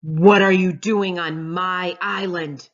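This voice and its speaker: A woman's voice: fairly low pitch, steady tone, slow, highs cut off. A woman voicing a bossy, bullying big male crab in a children's storybook, bellowing out commands. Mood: bullying, angry.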